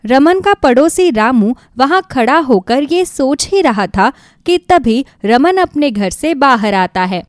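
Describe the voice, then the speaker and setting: A woman narrating a scripted children's moral story in an expressive storytelling manner. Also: sits high, takes it slowly, dry recording, studio quality.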